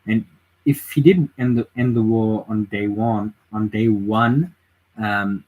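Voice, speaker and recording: A man with a low, husky voice streams late at night from home and sounds tired. He talks through his views casually, restarting sentences often and filling gaps with uh and um. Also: steady tone, slow, darkish tone, poor audio. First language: English. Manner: rambling, reads chat aloud, frequent restarts and fillers. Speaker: livestreamer. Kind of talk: casual political livestream chat.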